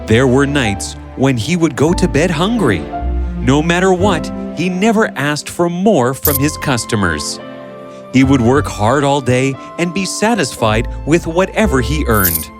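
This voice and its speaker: A man's voice: medium pitch, sing-song, a little slow, over music. A man narrating a scripted fairy tale as a storyteller.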